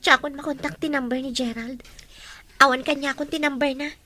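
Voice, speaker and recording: A woman's voice: high voice, steady tone, a little fast, bright, forceful tone. A woman acting an inquisitive, dutiful young Filipino daughter in an Ilocano audio drama, with a Filipino accent. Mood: explaining.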